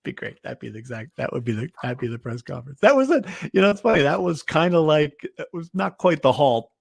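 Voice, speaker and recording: A man's voice: a little high, sing-song, fast, creaky, breathy edge, dry recording. A man co-hosting a sports podcast in a casual, conversational and slightly wry way.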